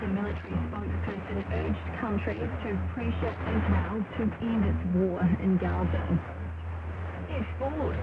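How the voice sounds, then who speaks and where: A woman presenting a scripted radio news bulletin, received over shortwave. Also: mid-range voice. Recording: tinny shortwave radio, very loud static.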